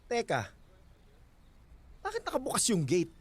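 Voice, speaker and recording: An actor playing a bitter, jealous husband in a Filipino radio drama, curt, sarcastic and accusing. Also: male voice, extremely high pitch, huge pitch swings, unhurried, breathy edge, full character voice. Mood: alarmed.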